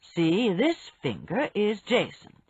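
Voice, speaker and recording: A woman voicing a calm, knowledgeable businessman who explains patiently and matter-of-factly in an audiobook story. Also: mid-range voice, breathy edge, no echo, muffled recording, full character voice. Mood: patient, assured. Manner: measured, matter-of-fact explaining.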